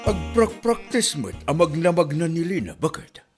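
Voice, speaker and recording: A man's voice: fairly high pitch, very animated, normal speed, loud music behind the voice. A man with an Ilocano accent playing a teasing, offhand man of the house in a comedy drama.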